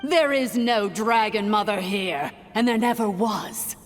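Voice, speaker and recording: A woman's voice: medium pitch, measured pace, natural voice. A woman playing a cruel ancient sovereign in a game cutscene, imperious and scornful, with grandly formal speech. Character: haughty, cruel ancient female sovereign villain. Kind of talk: dubbed video game cutscene acting. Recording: long reverb, music underneath.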